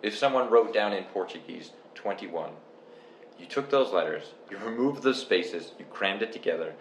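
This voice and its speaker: A young man from Canada chatting casually and explaining things step by step in a relaxed, rambling way. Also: fairly low pitch, moderate pace. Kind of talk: casual chat. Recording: echoey room with faint noise.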